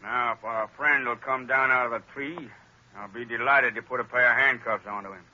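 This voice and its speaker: A dry, drawling country sheriff. His voice is mid-pitched with a sharp, bright edge. It comes through a dull old radio recording. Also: steady tone, brisk. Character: dry, steady rural sheriff man. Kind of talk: 1940s radio acting.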